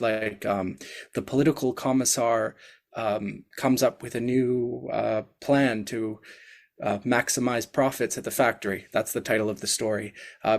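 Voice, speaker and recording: Low-voiced man musing with many ums. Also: gravelly. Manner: hesitant, many fillers, thoughtful. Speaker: guest, literary reviewer. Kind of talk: casual chat.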